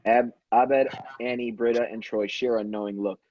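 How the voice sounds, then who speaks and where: A man's voice: mid-range voice, steady tone, moderate pace, darkish tone, slight background noise. A man reading screenplay stage directions aloud as the narrator of an amateur table read. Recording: dull, roomy home audio.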